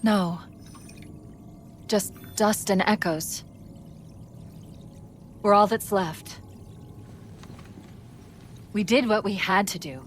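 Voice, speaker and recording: A woman voicing a quick-witted, wry AI companion in a video game, crisp and assured. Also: mid-range voice, faint music behind. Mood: somber, resolute. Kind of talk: cinematic game acting.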